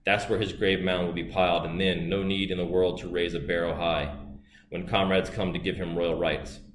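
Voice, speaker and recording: A man voicing a furious, boastful male river god who shouts and pleads in turn. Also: level tone, moderate pace, natural voice. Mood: raging. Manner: booming, then pleading and desperate. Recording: roomy with faint hiss.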